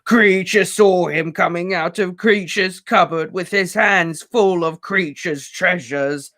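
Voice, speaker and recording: A man voicing a wretched old male house-elf, servile and bitter, who croaks out his words slowly and haltingly. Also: mid-range voice, fairly even intonation, fairly bright tone, dry recording, clean studio sound. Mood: wailing. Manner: slow, halting, gulping for air. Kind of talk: audiobook character voice.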